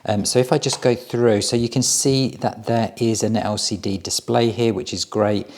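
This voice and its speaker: Low-voiced man giving a product walkthrough. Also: steady tone, moderate pace, a little mellow. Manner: plain-spoken, explanatory, step by step. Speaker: product reviewer. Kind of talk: casual hands-on product review and demonstration.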